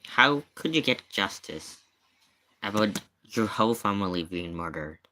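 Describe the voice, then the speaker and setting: A man's voice: fairly low pitch, animated, unhurried, pushed and bright, poor audio. A man giving casual live commentary in an emphatic, questioning way, often repeating his points. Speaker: streamer reacting to paranormal investigation video.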